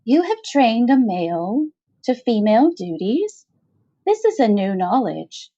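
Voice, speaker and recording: A woman's voice: a little high, very animated, measured pace, warm tone, no echo, lo-fi sound, voice pushed up, full character voice. Woman voicing an imperious giant alien spider matriarch. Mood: curious, demanding. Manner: formal, stilted, commanding phrasing.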